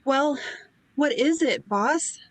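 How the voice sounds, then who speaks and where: A woman's voice: high-pitched, expressive, slow, slight background noise. A woman playing a naive, eager apprentice angel in a radio play, asking simple, inquisitive questions. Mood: curious.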